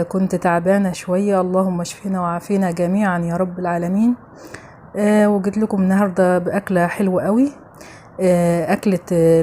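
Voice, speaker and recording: A woman's voice: fairly low pitch, dark tone, a little hiss or hum. A woman with an Egyptian accent hosting a home cooking show, chatting familiarly to viewers as she narrates a recipe step by step.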